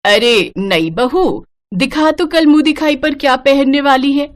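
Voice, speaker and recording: A woman's voice: high voice, a little slow, voice pushed up. A woman voicing a bossy, disapproving middle-aged mother-in-law in a cartoon story, scolding and domineering. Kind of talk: animated moral-story cartoon dialogue.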